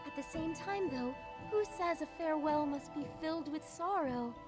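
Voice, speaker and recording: A woman plays a serene female bard in a game cutscene. Her voice is high and lyrical, with unhurried, poetic phrasing. Music plays clearly underneath. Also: natural voice. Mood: wistful, tender. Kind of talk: scripted video game voice acting.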